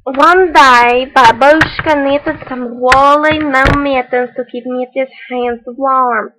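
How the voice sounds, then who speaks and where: A woman playing a quirky, earnest read-aloud hostess with a mock foreign accent, telling a children's story with exaggerated delivery and deadpan asides. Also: sits high, slow pace, noisy background. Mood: quirky storytelling.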